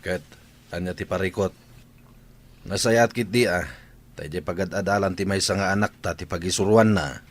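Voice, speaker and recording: A gruff, needling Ilocano family man in a radio drama. His voice sits on the low side, and he speaks bluntly with a Filipino Ilocano accent. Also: steady tone, normal speed, pauses often.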